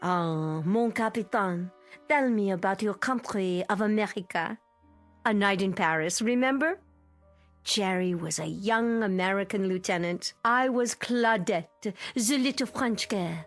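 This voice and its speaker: A woman's voice: medium pitch, a little slow, lightly acted. Woman playing a proud, theatrical aging film star, music behind. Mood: dreamy, nostalgic. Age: middle-aged. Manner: theatrical, haughty, precise. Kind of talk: mid-century television drama acting. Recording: vintage TV soundtrack with music.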